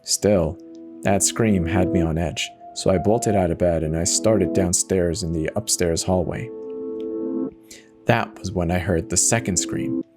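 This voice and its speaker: A man reading a first-person horror story as a dramatic scripted narration. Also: low-pitched. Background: music.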